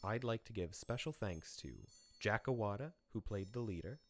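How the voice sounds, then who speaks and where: A man with a fairly low voice serves as the announcer for a radio play. He reads out scripted closing credits and acknowledgements. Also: moderate pace, soft background music.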